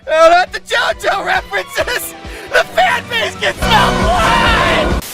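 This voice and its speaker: A man hosting scripted comedic commentary in an irreverent, theatrical, sweary way with shouted emphasis. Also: very high voice, big pitch swings, slow pace, over music, a crowd in the background. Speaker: host and narrator of a comedic manga video.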